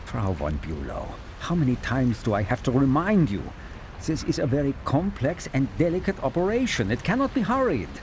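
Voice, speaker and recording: An actor playing an anxious, bookish Nazi scientist in a game cutscene, with a German accent and a pedantic, pleading manner. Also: male voice, mid-range voice, sing-song, normal speed, a little mellow, a little hiss or hum. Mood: flustered, impatient. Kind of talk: dramatic game cutscene acting.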